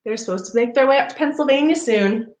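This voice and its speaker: A woman's voice: a little high, fast, darkish tone. A woman reading a children's picture book aloud, expressively, with chatty asides to young listeners. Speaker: storybook reader for a parks program. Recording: roomy, echoing sound.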